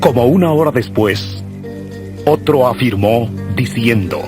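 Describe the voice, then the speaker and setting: A man narrates a dramatized audio Bible in a solemn, measured way. His voice sits at a middling pitch, with music clearly playing behind him. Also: lively intonation. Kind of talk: solemn scripted scripture narration.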